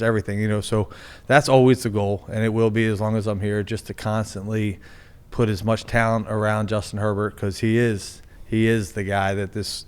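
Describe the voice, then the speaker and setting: A man's voice: lowish voice, smooth. A man being interviewed as a sports team's general manager, talking casually with many fillers and restarts. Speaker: NFL general manager, guest.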